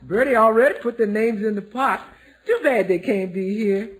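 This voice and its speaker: An actress playing a good-humored household cook in an old radio sitcom, with a folksy, teasing manner. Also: female voice, mid-range voice, normal speed, natural voice, poor audio. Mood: chatty. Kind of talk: 1940s-50s radio sitcom acting. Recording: old-time radio recording.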